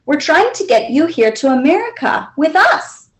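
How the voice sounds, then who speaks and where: Storybook mama, read by a woman: Mexican, loving, longing, high and animated. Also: moderate pace, roomy sound. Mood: tender, regretful. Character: loving Mexican mother, far away and longing.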